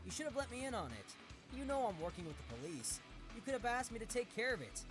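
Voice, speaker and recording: A woman's voice: on the high side, very animated, fast talker. A woman voicing a hot-headed, indignant, boyish young male detective in an anime visual novel. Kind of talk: visual novel character acting. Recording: game audio under music.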